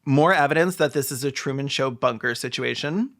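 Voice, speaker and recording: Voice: medium pitch, brisk, background noise. A commentator doing sarcastic comedic reactions, snarky and profane, with theatrical mockery. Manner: snarky, profane, theatrically mocking with mimicked quotes. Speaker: host of a video commentary show.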